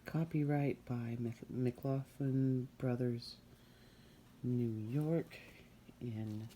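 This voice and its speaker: A woman storyteller reads a fairy tale aloud. Her voice is low, soft-edged and mellow. She takes her time and tells it expressively, as at storytime. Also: a little hiss or hum. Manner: unhurried, expressive storytime delivery.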